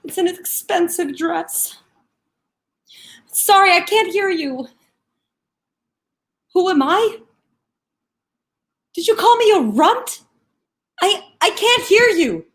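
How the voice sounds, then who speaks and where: A woman acting a dazed, fastidious election observer under arrest, speaking in a halting, bewildered way. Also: fairly high pitch. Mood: dazed, hurting. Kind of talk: dramatic monologue.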